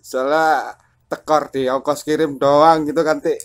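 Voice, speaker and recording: A man's voice: on the high side, level tone, a little slow, background noise. A man chatting casually about technical matters as an enthusiastic radio hobbyist.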